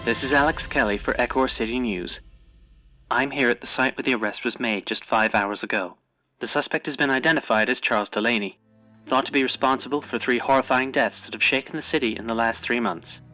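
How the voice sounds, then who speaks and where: A man's voice: mid-range voice, fairly quick, pushed and bright, faint music behind, natural voice. A man playing an earnest city news reporter in an audio drama, with formal, dramatic broadcast delivery. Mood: grave, formal.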